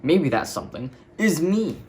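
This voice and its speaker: A man's voice: on the high side, big pitch swings, moderate pace, darkish tone, pitched above the performer's own voice. Storybook space hero toy, voiced by a male reader: boastful, keen, very varied intonation. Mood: confident.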